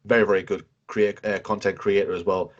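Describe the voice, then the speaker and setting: A young man in his twenties, chatting loosely as an interview host, piling on filler words and repeats. His voice sits on the low side. The audio is dull and lo-fi. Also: level tone, a little fast. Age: 20s. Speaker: show host interviewing a guest. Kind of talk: casual interview.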